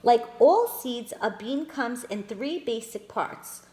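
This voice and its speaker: A woman reads a picture book aloud to small children, slowly and with a bouncy, sing-song rise and fall. Her voice sits fairly high, and she keeps turning to her listeners as friends to show them the pictures. The sound carries an echo from the room. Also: animated, mellow. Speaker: children's storyteller. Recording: echoey room.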